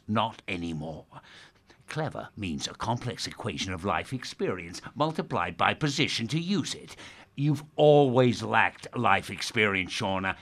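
A man's voice: on the low side, fairly bright tone, exaggerated voice. Pompous, swooping male radio presenter. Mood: irritated, scornful. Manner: condescending, theatrical, sardonic. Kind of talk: darkly comic audio drama acting.

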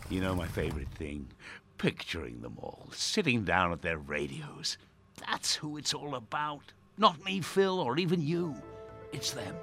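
A man playing a grandiose, theatrical radio host in a dark audio drama. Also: medium pitch, expressive, a little slow, vocal fry, faint music behind. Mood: pompous musing. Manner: pompous, cryptic, showmanlike. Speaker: radio presenter character.

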